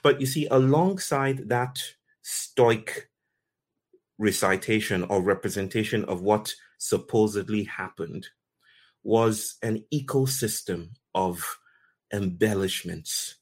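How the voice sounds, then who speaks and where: Deep-voiced Nigerian-born man telling a story. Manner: slow, deliberate, poetic phrasing with pauses. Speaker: host. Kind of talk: reflective storytelling talk.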